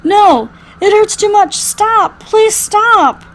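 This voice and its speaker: A woman's voice: extremely high pitch, animated, normal speed, pitched above the performer's own voice. A woman voicing a badly injured young woman who pleads desperately in a strained voice, for a visual novel. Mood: pained, pleading.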